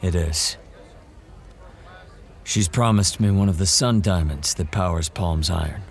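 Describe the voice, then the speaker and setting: A man's voice: low-pitched, a little slow, gravelly. An actor playing a hardened male monster hunter in an audio drama, blunt and earnest. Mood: confident.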